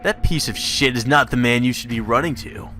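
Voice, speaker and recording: A man's voice: mid-range voice, brisk, sharp tone, lightly acted. A man voicing an arrogant, contemptuous king in a visual novel, speaking in a haughty, stately, condescending way. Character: arrogant, contemptuous male king who threatens and demands. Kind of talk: visual novel dialogue read aloud. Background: game music.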